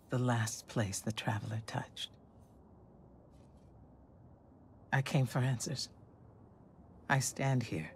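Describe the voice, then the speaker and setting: A woman playing a grave, disillusioned warrior scholar in a game cinematic, low-voiced, solemn and measured. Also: darkish tone, a little hiss or hum, heavily acted. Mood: somber.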